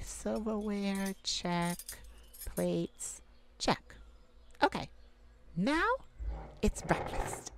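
A woman playing a caring, affectionate mage gardener in a gentle ASMR roleplay audio drama. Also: mid-range voice, dry recording. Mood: cheerful, grateful. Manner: affectionate, gentle, full of endearments. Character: tender, caring mage gardener devoted to their werewolf mate.

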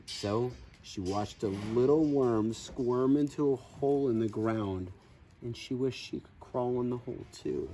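A man in his forties reading a children's story aloud outdoors, expressive, with playful asides. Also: mid-range voice, unhurried, a little hiss or hum. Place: outdoors on grass in a farm field.

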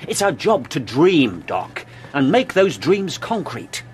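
An actor playing an arrogant, dismissive scientist in a radio drama, with a condescending, sardonic manner. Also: male voice, fairly high pitch, big pitch swings, slow pace, over music.

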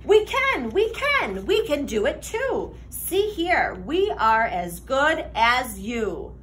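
High, bright, very animated woman reading aloud. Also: slight background noise. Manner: expressive, sing-song, deliberate reading. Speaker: teacher reading aloud to children. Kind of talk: animated children's picture-book read-aloud. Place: classroom, indoors.

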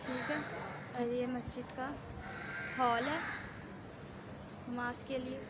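A woman's voice: high-pitched, little pitch movement, takes it slowly. A young woman vlogger casually narrating a walking tour, often checking in with "theek hai" as she shows things around. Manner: chatty, keeps adding "theek hai" while showing around. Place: outdoors at a large mosque courtyard. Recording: outdoor phone recording with crowd and ambient noise.

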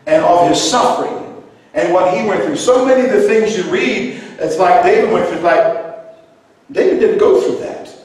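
A middle-aged man from New Zealand who grew up in Iceland, preaching a sermon with passion, at times rising to shouts. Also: high voice, expressive, moderate pace. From New Zealand, raised in Iceland. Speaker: pastor. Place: large church hall with high ceiling. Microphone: handheld mic, heard from a distance in the room. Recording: echoey hall from far off.

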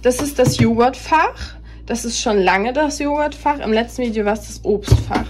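A woman's voice: on the high side, moderate pace, darkish tone. A young woman in her twenties chatting casually to her phone camera in a home kitchen, rambling with many fillers. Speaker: vlogger. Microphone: phone camera built-in mic. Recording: noisy phone recording.

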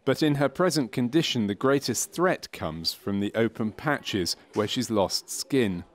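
A man narrating a medical training film from a script, in a measured, clinical and factual way. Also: mid-range voice, expressive. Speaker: documentary narrator.

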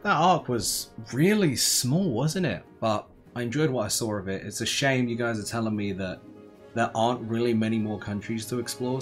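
A young man giving casual, slangy reaction commentary, musing aloud in a laid-back, tired, low-energy way. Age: young adult. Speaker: anime reaction host. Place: small bedroom, indoors.

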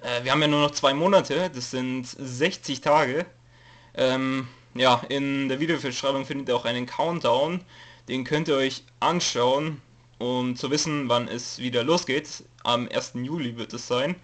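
Young dude with a cold, lots of ähms, jokey channel update, normal pitch. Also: male voice, moderate pace, fairly bright tone. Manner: hesitant, many fillers, reads from a note, jokey. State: has a cold, coughing. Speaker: YouTube let's player giving a channel update. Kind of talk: casual rambling info video.

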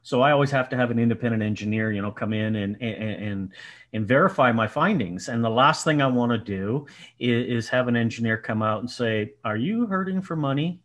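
A man's voice: mid-range voice, brisk. Nasal guy in his 60s, headset mic on a video call, pokes fun at himself a lot. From Colorado. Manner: self-mocking storyteller, laughs often. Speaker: podcast guest, business owner. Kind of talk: casual video call chat. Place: home room indoors.

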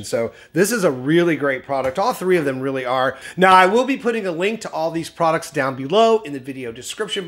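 A man in his thirties talks straight to the camera from his home kitchen, reviewing skincare products with bright enthusiasm. His voice sits slightly high for a man and carries a nasal, scratchy edge left over from a recent cold. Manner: upbeat, enthusiastic, addresses viewers directly. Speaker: skincare channel host. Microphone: camera at medium framing, seated facing lens.

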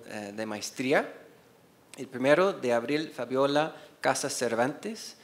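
Halting slow-spoken non-native man presenting. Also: medium pitch. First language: not Spanish. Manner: hesitant, many fillers and restarts, stumbles over names. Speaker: award presenter. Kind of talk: reading award nominees at a conference. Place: university auditorium, seated at a panel table. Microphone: desk microphone on a stand.

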